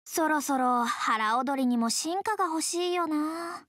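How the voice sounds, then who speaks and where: A woman voicing a brash, tomboyish young game character, hearty but easily flustered, who talks in rough, blunt slang. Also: high voice, unhurried, a bit bright, dry recording, studio quality. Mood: casual. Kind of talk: video game character voice lines.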